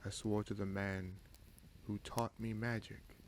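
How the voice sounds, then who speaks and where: A man playing a vengeful, brooding bastard prince and sorcerer in a fantasy audio roleplay, speaking in a measured, solemn, halting way. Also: fairly low pitch, level tone, a little hiss or hum, close to an everyday voice. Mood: bitter, resolute.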